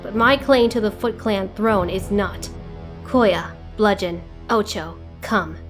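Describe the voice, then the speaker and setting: A woman's voice: medium pitch, takes it slowly, soft background music, natural voice. A woman playing a proud, ruthless ninja clan leader in a motion comic fan dub, speaking in a formal, imperious and menacing way.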